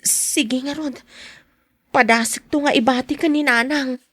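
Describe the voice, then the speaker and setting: Actress as secretive wife and mother, podcast drama. Higher husky voice, soft and confiding, close dry sound. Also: steady tone, measured pace, no room sound. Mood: coy. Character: married mother at home, drawn into a secret affair. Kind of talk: Philippine podcast radio drama acting with narration.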